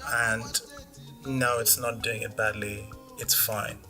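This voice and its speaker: A man's voice: fairly low pitch, level tone, takes it slowly, sharp tone, soft background music. A man from Nigeria hosting a casual film review, blunt and skeptical, with wry asides. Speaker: film critic host.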